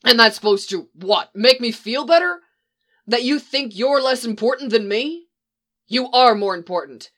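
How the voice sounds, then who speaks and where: A woman voicing a reckless young male agent, shouting and swearing bitterly. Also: mid-range voice, big pitch swings, moderate pace. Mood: furious.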